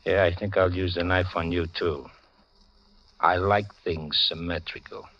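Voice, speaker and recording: A man's voice: sits low, steady tone, a little slow. An actor playing a menacing, mocking gangster killer in a 1940s radio crime drama, with slangy tough-guy talk. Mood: cold menace. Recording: dull vintage radio recording.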